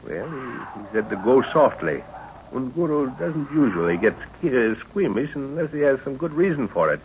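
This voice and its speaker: A man's voice: medium pitch, big pitch swings, moderate pace. An actor playing an older, absent-minded professor and father in an old radio adventure serial, exclaiming and muttering to himself in an avuncular way. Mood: wary. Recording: muffled, noisy old-time radio recording.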